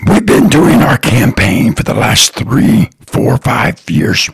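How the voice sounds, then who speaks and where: A man hosting his own podcast, talking slowly and earnestly in a raspy, partly whispered voice. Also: mid-range voice, animated, no room sound. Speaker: podcast host, lawn care business owner. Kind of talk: slow, earnest solo podcast talk.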